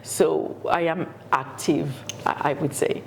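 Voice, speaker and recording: A middle-aged woman from Haiti, an education professor, answering interview questions in a halting way with many fillers and repeated words. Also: low voice, fairly even intonation, creaky, a little mellow, slight background noise. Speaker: education professor and community leader.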